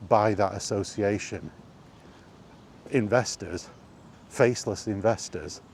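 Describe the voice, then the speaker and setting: A man giving an unscripted opinion monologue in an emphatic, deliberate manner, leaning on rhetorical questions and repetition. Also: fairly low pitch, darkish tone, slight background noise. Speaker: video host sharing opinions on gaming.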